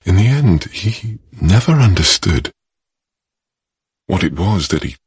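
Ancient mournful being, very deep voice, husky, slow delivery. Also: male voice, big pitch swings, no echo, studio quality, heavily acted. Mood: solemn. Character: ancient male creation mourning its lonely master. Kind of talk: solemn game narration.

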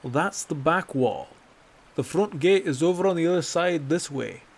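A man voicing a gruff, jovial male Nord hunter who needles people, raised and lively against his narration. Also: a little high, normal speed, voice pushed up. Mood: boisterous. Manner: boisterous, needling.

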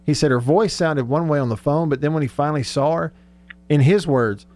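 A man's voice: fairly high pitch, fairly quick, a little mellow. A man hosting sports talk radio in a casual, conversational, informal way.

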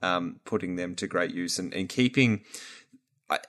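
A man's voice: sits low, normal speed, fairly bright tone. A man hosting a podcast, chatting casually and rambling through his points with many fillers and restarts. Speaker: podcast host. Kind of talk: casual chat.

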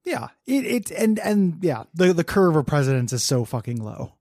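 A man's voice: on the high side, very animated, moderate pace, darkish tone, no room sound, clean studio sound. Giggly, rambling male podcast co-host. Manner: rambling, laughs often, riffs on pop culture. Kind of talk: casual chat.

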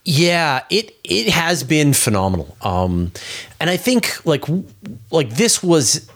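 A man's voice: fairly high pitch, big pitch swings, slow pace, fairly bright tone. A man speaking as an interview guest, explaining in a casual, enthusiastic way and thinking aloud as he goes. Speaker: interview guest, space commentator.

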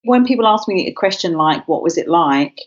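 Low-voiced woman speaking reflectively. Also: lively intonation, a little fast, dull audio. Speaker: interviewed guest. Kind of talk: conversational interview.

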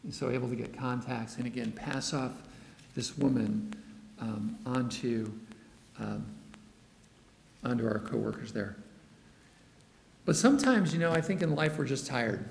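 A man giving a lively mission report in church, acting out the voices of the people in his story. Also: medium pitch, unhurried, gravelly, long pauses, slight background noise. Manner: animated storytelling, acts out quoted dialogue. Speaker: missionary speaker. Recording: echoey hall, long reverb.

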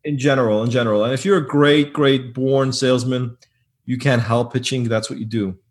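A man being interviewed as a business owner, talking casually in a loud, emphatic way that often repeats words. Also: mid-range voice, steady tone, fairly quick.

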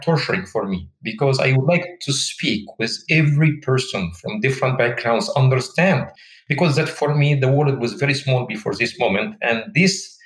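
A man's voice: medium pitch, echoey room, low-quality recording. A man in his forties from Egypt with an Egyptian Arabic accent, telling his life story earnestly as an interview guest and searching for words in his second language. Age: 40s.

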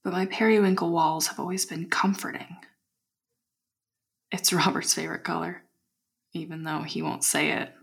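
A woman playing an unsettled young woman secretly confiding an audio diary in a halting, breathy half-whisper. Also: medium pitch. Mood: uneasy, hushed. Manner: halting, hushed, introspective. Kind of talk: intimate found-footage audio diary acting.

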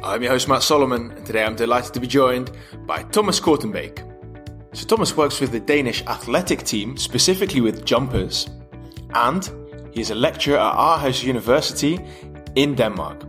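A man's voice: medium pitch, a bit bright. A man hosting a sports science podcast, introducing his guest with enthusiasm and asking questions. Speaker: podcast host. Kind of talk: podcast interview.

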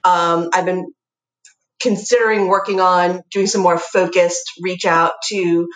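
A woman lawyer with a slightly low voice, chatting with a podcast host in a cheerful, nerdy way and laughing lightly. Her audio comes through with noticeable room echo. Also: steady tone, a little slow. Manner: chatty, self-described nerd, laughs lightly. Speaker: guest lawyer. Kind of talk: casual podcast interview. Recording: echoey room.

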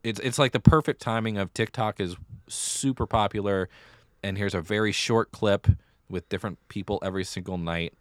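A man with a very low voice, recorded dry with hardly any room sound. He talks casually as a podcast co-host, leaning on his points with emphasis. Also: fairly even intonation, normal speed, no room sound. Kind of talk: casual chat about musical theatre.

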